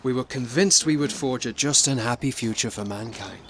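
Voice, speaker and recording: An actor plays a loyal, good-humoured man of a 1940s touring company. He has a mid-pitched voice and an earnest, companionable way of talking, with faint noise behind him. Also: normal speed, a bit bright, natural voice. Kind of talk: audio drama acting.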